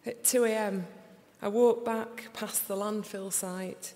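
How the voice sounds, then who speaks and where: Slow Sheffield woman reading poetry. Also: medium pitch, steady tone, mellow. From England. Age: young adult. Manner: slow, deliberate recital. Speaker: poet. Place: large concert hall. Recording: live reading in a reverberant hall.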